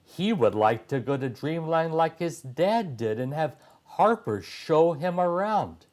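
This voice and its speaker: A man reading a children's story aloud as a narrator, phrasing deliberately with frequent pauses. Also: a little high, big pitch swings, a little mellow.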